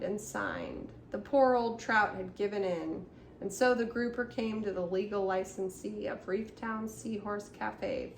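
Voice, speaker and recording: Older woman reading aloud, creaky and echoey. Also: medium pitch, recorded from a distance. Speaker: storytime host reading aloud. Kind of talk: read-aloud children's rhyming storybook narration. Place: room at home. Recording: roomy echo, faint background noise.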